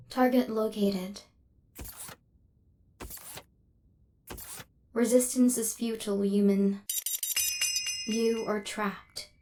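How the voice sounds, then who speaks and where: A woman's voice: medium pitch, warm tone, slight background noise. A woman voicing a female robot assassin in an ASMR roleplay, cold and relentless, with clipped, formal, mechanical delivery. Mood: cold, menacing.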